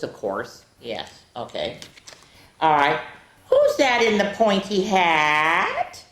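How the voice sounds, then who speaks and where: A woman hosting a children's storytime, reading picture books aloud in a playful, theatrical way with casual asides and drawn-out words. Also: on the low side. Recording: echoey, roomy sound.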